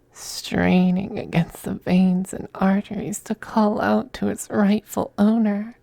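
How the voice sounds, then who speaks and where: A silky femboy vampire acted by a man murmurs in a slow, mellow, high and feminine-leaning voice for an ASMR roleplay. He is possessive and teasing, slipping into soft whispers with languid condescension, very close and dry. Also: gravelly, no room sound, full character voice. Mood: seductive, possessive.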